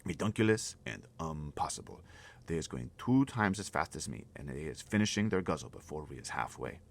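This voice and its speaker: A man voicing a kindly, mournful giant who talks in gentle, muddled grammar. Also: rumbling low, very animated, brisk, gravelly, heavily acted.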